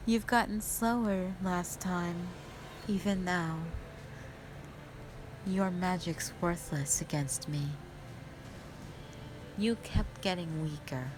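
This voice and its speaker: A woman playing a cruel, mockingly sweet spider-woman monster who taunts slowly and with menace in a fantasy audio roleplay. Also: lowish voice, background noise. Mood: mocking, confident. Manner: slow, drawn-out, condescending taunting.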